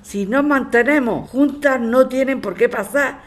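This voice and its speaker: A woman playing a steady, reassuring resident who faces eviction in an audio drama. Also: high-pitched, measured pace. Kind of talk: scripted audio drama acting.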